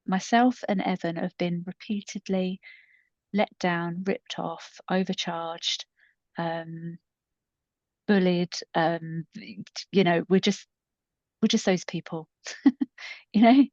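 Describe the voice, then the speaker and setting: A mature woman in her fifties talks casually as a podcast co-host, with a slightly low voice. She rambles chattily and pokes fun at herself. The sound is a little dull and lacking in top end. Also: slow, pauses often, darkish tone. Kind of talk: casual conversational storytelling. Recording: muffled, roomy echoing recording.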